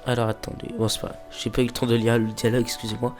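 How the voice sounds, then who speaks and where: A man's voice: medium pitch, little pitch movement, quick pace, a little mellow, faint music behind. A man with a stuffy nose from a cold, casually commenting on a mobile game he plays, hesitant and full of fillers, sometimes reading the game's dialogue aloud. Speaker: let's play host.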